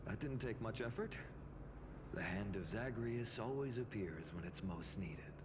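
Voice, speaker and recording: A man's voice: fairly low pitch, lively intonation, unhurried, noisy background. A man playing a confident, easygoing young hero in a video game, with an assured, companionable delivery. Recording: under loud game music.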